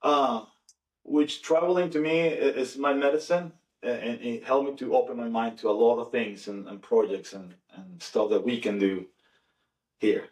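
A man from Venezuela with a Venezuelan accent, a native Spanish speaker, talking casually and informally in an animated way. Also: medium pitch, moderate pace. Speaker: podcast participant. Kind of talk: casual conversation. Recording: echoey room, distant mic.

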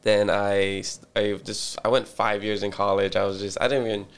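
Man from Hawaii, Hawaiian native speaker, podcast guest, smooth resonant voice, flat delivery. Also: lowish voice, moderate pace. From Hilo, Hawaii. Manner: easygoing, laughs easily. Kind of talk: casual interview chat.

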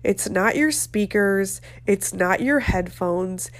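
A woman's voice: medium pitch, expressive, slow. A woman hosting a nutrition podcast, talking casually with a nasal, congested voice from a head cold. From Florida. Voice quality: nasal, stuffed-up. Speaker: podcast host, dietitian. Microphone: video call.

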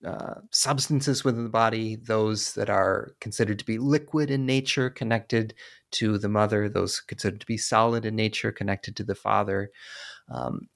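Man, low voice, gravelly, measured scholarly explanation, lots of ums. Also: fairly even intonation. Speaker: author and scholar being interviewed. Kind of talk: academic explanation in an interview.

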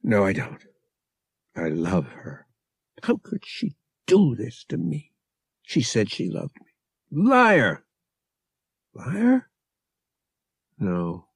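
A man's voice: lowish voice, breathy edge, no echo, studio quality, heavily acted. A man voicing a heartbroken young man in an audiobook, arguing bitterly with himself, his throat raw.

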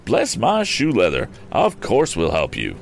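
Man playing an excitable eccentric gentleman, pitched high. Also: measured pace, creaky, soft background music, heavily acted. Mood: delighted surprise. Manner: flustered, exclamatory, emphatic. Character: eccentric, excitable gentleman motorist, blustering and good-natured. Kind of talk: audiobook character voice.

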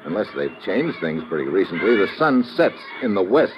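A dull, hissy old radio recording carries a tough American agent. His voice is low and expressive, brisk and wry, in classic adventure serial style. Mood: suspicious, terse. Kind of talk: 1950s radio adventure acting.

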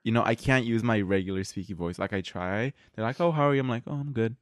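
A young man with a fairly low voice hosts a casual podcast conversation. His wording is informal and slangy. The sound is dry, with very little room. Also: quick pace, no echo. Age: young adult. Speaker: podcast host. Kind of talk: casual chat.

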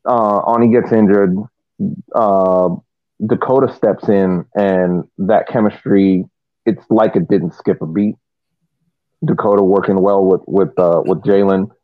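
A man with a fairly low voice gives casual sports analysis as a podcast co-host. He builds his points haltingly and thoughtfully. The audio is dry and thin, like a phone connection. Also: fairly even intonation, no echo, phone-quality sound.

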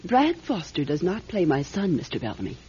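Haughty ageing screen star, grand, wide-swinging pitch, slightly muffled recording. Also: female voice, huge pitch swings, a little fast, mellow, breathy edge, full character voice. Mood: indignant. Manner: grand, indignant, clipped. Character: haughty, easily offended ageing film actress. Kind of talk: radio drama acting. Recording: dull, lightly noisy radio recording.

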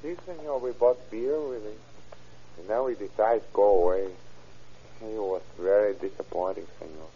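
A man playing a frightened young Mexican murder suspect in an old radio crime drama, speaking halting English with a Mexican Spanish accent. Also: on the low side, warm tone, husky. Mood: resigned, confessing. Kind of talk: 1930s radio acting. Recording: muffled old radio recording.

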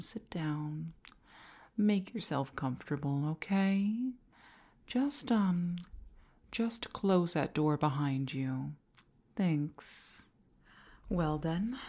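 A woman plays a possessive female boss in an intimate audio roleplay. Her voice is low and she takes her time, coy and halting. She sounds flirtatious and easily flustered, with words that can turn breathy. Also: a little mellow, exaggerated voice. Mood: coy, playful. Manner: coy, halting, giggly, often dropping to breathy whispers.

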